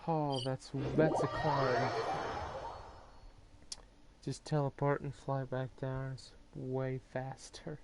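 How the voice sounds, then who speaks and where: Relaxed let's-play narrator, slow and chatty, talking to themselves, game music loud. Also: mid-range voice, noisy background. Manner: chatty, exclaims and laughs to self. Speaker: gamer playing and commenting. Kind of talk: casual let's-play commentary.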